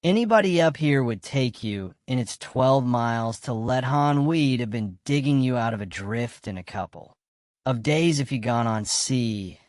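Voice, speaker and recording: A man's voice: medium pitch, expressive, normal speed, darkish tone, dry recording, studio quality, natural voice. A man playing a hospitable, plain-spoken older farmer father in a radio drama. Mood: bluff, welcoming.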